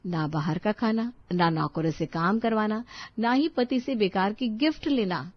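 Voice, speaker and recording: A woman's voice: medium pitch, unhurried, no echo, dull audio. A woman narrating a scripted story as a storyteller.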